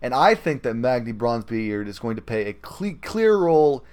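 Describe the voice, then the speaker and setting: A man hosts a gaming podcast in a casual, conversational style. His pitch sits in an ordinary middle range for a man. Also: big pitch swings, moderate pace, a little mellow. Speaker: podcast host.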